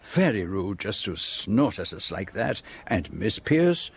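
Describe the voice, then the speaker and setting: Belgian detective, French-speaking accent, lowish pitch, measured, exact, radio drama. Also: male voice, very animated, breathy edge, heavily acted. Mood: measured, assured. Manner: measured, precise, formal explanation. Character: shrewd, precise, theatrical Belgian detective, middle-aged man.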